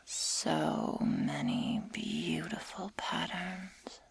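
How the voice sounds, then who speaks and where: A woman's voice: fairly low pitch, flat delivery, heavily acted. Woman acting a whispering, sadistic werewolf girl. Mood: predatory. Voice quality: breathy, mostly whispered. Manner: slow, deliberate, mock-sweet taunting. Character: sadistic werewolf girl, menacing, taunting, predatory. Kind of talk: ASMR roleplay. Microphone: close microphone.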